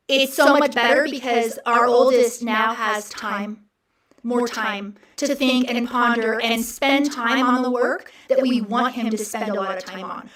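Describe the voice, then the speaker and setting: A woman's voice: fairly high pitch, fairly bright tone, reverberant, recorded from a distance. Chatty, encouraging woman host. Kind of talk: casual livestream chat.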